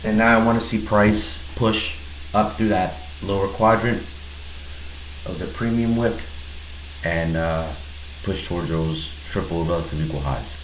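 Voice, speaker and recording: Deep-voiced man with a stuffy head cold. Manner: relaxed, hesitant, many pauses and restarts. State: head cold, slightly nasal. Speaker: trader reviewing his own trade. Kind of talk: casual screen-recorded trade breakdown. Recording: roomy echo with faint background noise.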